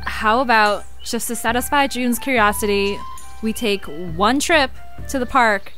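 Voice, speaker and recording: A wary young girl played by a woman in an audio drama, sarcastic and easily exasperated. Her casual voice sits fairly high, with music underneath. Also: a little slow, fairly bright tone. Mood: cautious, casual.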